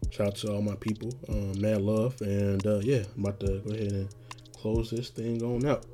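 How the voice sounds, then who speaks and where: A young man hosting a casual solo podcast, rambling in a laid-back, slangy way with many fillers, his voice going raspy. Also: fairly low pitch, little pitch movement, moderate pace, mellow, slight background noise. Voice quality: getting raspy. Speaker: podcast host.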